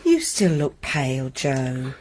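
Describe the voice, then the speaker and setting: An actress playing an anxious, sharp-tongued middle-aged landlady who talks in a tense, curt way. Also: a bass voice, very animated, takes it slowly, slight background noise, heavily acted. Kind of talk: radio drama acting.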